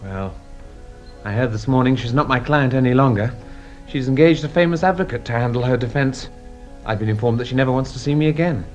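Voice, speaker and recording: An actor playing a reserved gentleman lawyer in a radio drama, with a polite, clipped manner. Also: mid-range voice, a little fast, muffled recording, soft background music, lightly acted. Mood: mildly aggrieved. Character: reserved English gentleman lawyer, the detective's sceptical companion.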